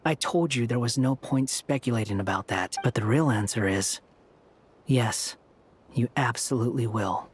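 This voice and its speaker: A man voicing an aloof, guarded young puppet wanderer in a video game, speaking in a cool, measured way. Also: medium pitch, slight background noise, natural voice. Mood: firm, sober. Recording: game music behind.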